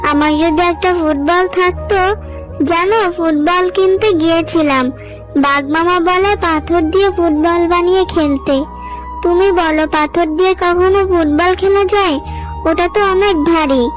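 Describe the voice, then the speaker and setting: A woman's voice: very high voice, steady tone, normal speed, faint music behind. A woman voicing a sweet, pleading little fox girl in a cartoon, with a childlike, coaxing manner. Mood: wistful. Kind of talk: cartoon dubbing.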